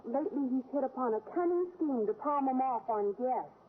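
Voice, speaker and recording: A high, girlish voice on a tinny vintage radio recording, an eager teenage schoolgirl. She chatters earnestly and confidingly in old sitcom style. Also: lively intonation, moderate pace, husky. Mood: chatty, confiding. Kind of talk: 1940s-50s radio sitcom acting.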